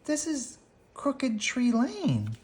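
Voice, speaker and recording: A woman's voice: high-pitched, huge pitch swings, very slow, darkish tone, slight background noise, voice pushed up, heavily acted. A woman voicing a chirpy young girl bear cub in a children's storybook. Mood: uneasy.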